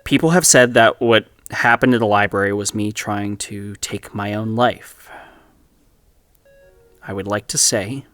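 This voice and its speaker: An actor playing a grave, deliberate man recording a public statement, solemn and measured, in a scripted audio drama. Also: on the low side, a bit bright, no room sound. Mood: solemn, troubled.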